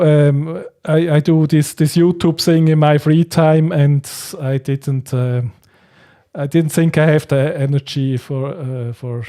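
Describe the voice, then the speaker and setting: A man hosting a casual livestream chat, speaking hesitantly and haltingly with frequent chuckles. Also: on the high side, warm tone. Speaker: livestream host.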